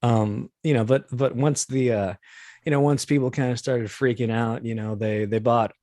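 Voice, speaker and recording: A man's voice: medium pitch, steady tone, normal speed, mellow, no echo, studio quality. A man chatting casually as an interview guest, telling anecdotes in a rambling, wry, self-deprecating way. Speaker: interview guest, voice actor and performer.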